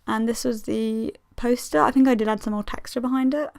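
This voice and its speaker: A woman's voice: a little high, steady tone, a little fast, creaky, darkish tone, no room sound, studio quality. A woman in her twenties with a slightly strained voice, giving a chatty, self-deprecating, rambling commentary as a casual video host. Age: 20s. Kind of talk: casual sketchbook tour commentary.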